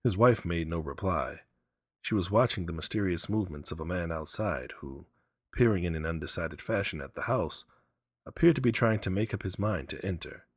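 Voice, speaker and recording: A man's voice: low-pitched, lively intonation, normal speed, no echo, clean studio sound. A man reading a story aloud as a dramatic, scripted narration. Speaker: story reader.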